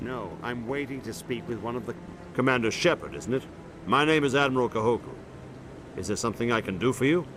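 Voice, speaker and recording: A man's voice: medium pitch, expressive, a little fast, lightly acted. A man playing an earnest, troubled admiral in a science fiction video game, speaking formally and with weight. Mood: concerned, businesslike. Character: earnest, troubled male navy admiral of space opera. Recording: clear background ambience noise.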